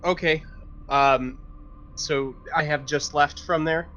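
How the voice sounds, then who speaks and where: A man's voice: on the high side, fairly even intonation, takes it slowly, a bit bright, a little hiss or hum. A man playing a tabletop roleplaying game, casually improvising his lines in a hesitant, conversational way. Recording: slightly dull audio, faint music behind.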